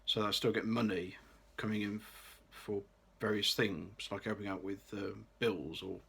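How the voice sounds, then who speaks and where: Low-voiced young man, bright tone. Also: steady tone, moderate pace, gravelly, breathy edge. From Birmingham, England. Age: late 20s. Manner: careful, read-aloud delivery with occasional restarts. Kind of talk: scripted personal vlog.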